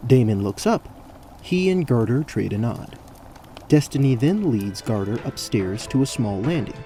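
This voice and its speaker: A man's voice: mid-range voice, animated, normal speed, dark tone, faint music behind. A man narrating a scripted fantasy story as the narrator of an audio drama.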